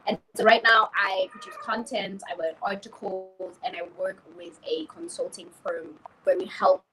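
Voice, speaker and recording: A woman's voice: mid-range voice, lively intonation, normal speed, pushed and bright, a little hiss or hum, low-quality recording. A woman from Tanzania and Cameroon, a French speaker, talking casually about herself in an interview. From grew up in Tanzania, born in Cameroon. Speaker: podcast host, journalist and entrepreneur.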